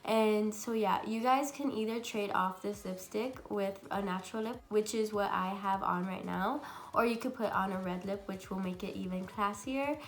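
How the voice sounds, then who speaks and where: A young woman with a raspy, congested voice from a cold, chatting bubbly through a makeup tutorial at home. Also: mid-range voice, normal speed. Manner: chatty and bubbly, many fillers. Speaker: beauty vlogger. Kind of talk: casual makeup tutorial chat.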